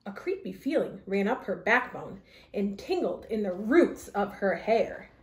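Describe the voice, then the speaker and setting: A woman reading a children's story aloud with expressive narration in an echoey room. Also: mid-range voice, measured pace.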